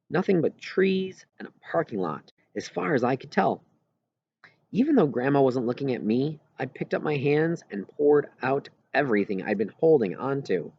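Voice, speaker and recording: Man reading a story aloud, expressive pitch. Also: gravelly, breathy edge, dry recording, dull audio. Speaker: audiobook reader. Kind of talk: first-person narration of a children's novel, read aloud.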